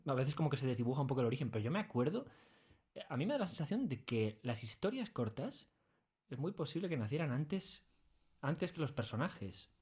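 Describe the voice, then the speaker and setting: A man answers questions as a guest in a studio. His pitch is average for a man. He talks casually, circling and correcting himself with some hesitation. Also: sing-song, a little fast, darkish tone, husky. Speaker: interview guest, audio fiction writer. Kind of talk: casual conversational interview. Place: recording studio.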